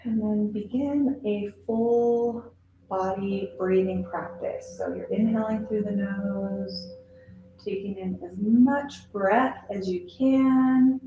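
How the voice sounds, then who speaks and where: A woman yoga instructor leading a guided relaxation meditation in a soothing, unhurried, instructional way with long pauses. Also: medium pitch, muffled recording. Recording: very echoey room with noise.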